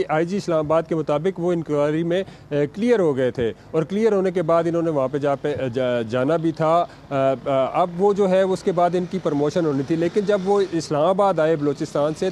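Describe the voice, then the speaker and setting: A man reports news live in a Pakistani accent. His voice is soft-edged and a little high, and he halts often as he assembles each point. Faint outdoor city noise sits behind him. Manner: halting, hesitant delivery with repeated filler words.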